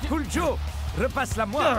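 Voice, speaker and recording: A man's voice: very high-pitched, expressive, normal speed, over music. A man voicing a confident team captain calling urgent plays to his teammates in a cartoon dub. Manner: urgent, commanding shouts to teammates.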